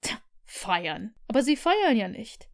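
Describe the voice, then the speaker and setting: A woman reading the part of a cheerful, warm-hearted young man who speaks earnestly and pleadingly. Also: fairly high pitch, very animated, slow pace, heavily acted.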